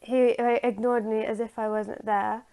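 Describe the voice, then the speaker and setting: A woman retelling a story from memory in a halting, hesitant way, searching for her words. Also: fairly high pitch, level tone, gravelly, dark tone, clear, resonant tone.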